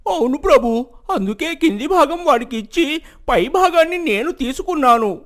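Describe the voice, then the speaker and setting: An actor playing a sly, greedy village man, submissive and emphatic as he pleads, in an animated moral story. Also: very high-pitched, normal speed. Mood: feigned innocence. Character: sly, greedy village elder brother, submissive before royalty.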